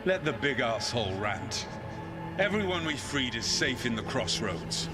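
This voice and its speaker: An actor playing a plain-spoken, committed rebel commander in a fantasy game, rousing and commanding. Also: male voice, medium pitch, sing-song, a little slow. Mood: brisk, resolute. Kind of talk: dramatic game dialogue. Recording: loud music behind the voice.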